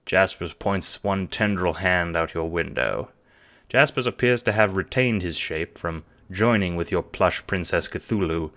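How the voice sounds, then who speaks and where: A man's voice: sits low, a bit bright. A man narrating a scripted story in the second person, in the style of an audio drama or audiobook. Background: music.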